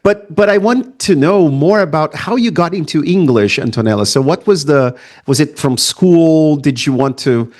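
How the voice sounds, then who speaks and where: A man hosting an interview podcast, enthusiastic and quick to laugh, whose first language is Portuguese. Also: on the high side, expressive, darkish tone. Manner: enthusiastic, laughs often, frequent fillers. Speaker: podcast host. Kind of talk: conversational interview.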